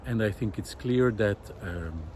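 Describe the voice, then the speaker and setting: Low-voiced man musing outdoors over city noise. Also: a little mellow. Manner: thoughtful, halting, searching for words. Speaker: host of a nature project podcast. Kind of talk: reflective unscripted spoken monologue. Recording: outdoor city noise, wind, distant traffic. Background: faint city noise, wind, vehicles.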